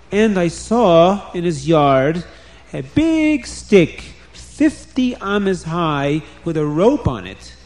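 Man as an earnest, deliberate royal guard. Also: high voice, a little mellow, voice pushed up. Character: earnest royal guard informing the king of a plot.

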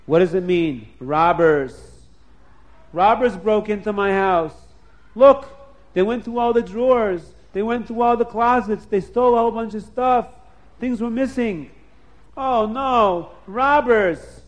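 A man's voice: high voice, measured pace, voice pushed up. A man voicing a scheming, complaining old man who whines and exclaims in a storybook tale. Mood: alarmed. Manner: whiny, exclaiming with oys.

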